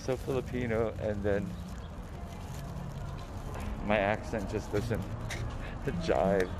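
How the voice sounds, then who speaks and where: A man's voice: fairly low pitch, steady tone, takes it slowly, background noise. A man, talking casually to viewers as he walks through an outdoor flea market and filming on a phone. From Guam. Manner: chatty, self-amused, addresses viewers as peeps. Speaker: vlogger. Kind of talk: casual walk-and-talk vlog. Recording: outdoor market with loud music. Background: music playing.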